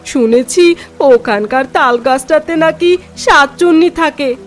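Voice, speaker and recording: A woman voicing a hurried, gossiping village neighbor in a cartoon, brisk and dismissive. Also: high-pitched, soft background music.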